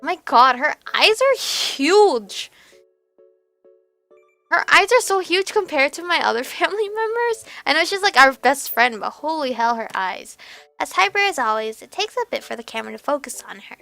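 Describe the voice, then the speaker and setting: High, animated young woman reading aloud. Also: normal speed, vocal fry, no room sound. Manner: expressive, animated. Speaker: let's player. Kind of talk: reading a visual novel aloud with reactions. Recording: music behind the voice.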